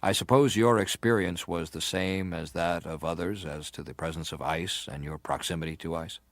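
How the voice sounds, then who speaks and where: An actor playing a methodical senator who questions a witness in a formal, probing, businesslike way. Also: male voice, deep voice, normal speed, no room sound. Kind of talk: dramatised reading of hearing testimony.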